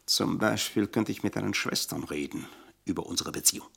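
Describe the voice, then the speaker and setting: An actor playing a sickly, scheming retired officer in a radio play, pompous and wheedling in stilted, flowery speech. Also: male voice, on the low side, a little fast, gravelly, a bit bright, breathy edge, heavily acted. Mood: bitter, threatening. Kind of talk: German radio play acting.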